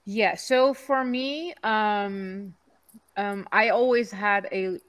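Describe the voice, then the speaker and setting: A woman's voice: medium pitch, slow pace, fairly bright tone. A woman from the Czech Republic, a podcast guest in casual conversation, who often stops and restarts her phrases.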